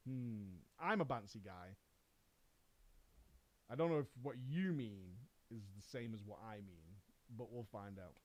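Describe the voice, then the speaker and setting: A man's voice: on the low side, lively intonation, a little slow, pauses often. A man streaming, reading a viewer's story aloud and commenting on it sarcastically, swearing and laughing often. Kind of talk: reaction commentary on a read-out story.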